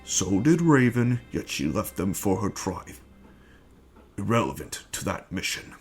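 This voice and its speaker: A man acting in an amateur dramatic reading as a scheming, menacing villain bent on conquest, speaking in a measured, formal, commanding way. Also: lowish voice, big pitch swings. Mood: menacing, imperious. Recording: music bed under the voice.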